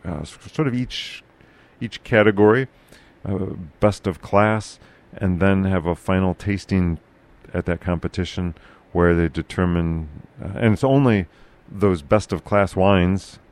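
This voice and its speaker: A man hosting a casual local podcast chat, with a loud, carrying voice, talking haltingly with many fillers and restarts. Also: low-pitched. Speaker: podcast host.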